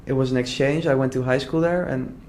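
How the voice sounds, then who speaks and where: A man's voice: medium pitch, fairly even intonation, a little fast, darkish tone, slight background noise. A young man from the Netherlands talking casually and earnestly in an interview, full of "like" fillers and restarts. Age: young adult. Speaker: entrepreneur guest.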